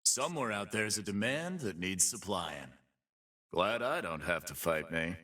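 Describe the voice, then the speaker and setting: A man's voice: low voice, animated, measured pace, pushed and bright, distant mic, heavily acted. An actor voicing a cocky, brutish half-human fight-pit boss for a video game, with a swaggering, taunting, slangy tough-guy drawl. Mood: menacing swagger. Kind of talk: video game character voice lines.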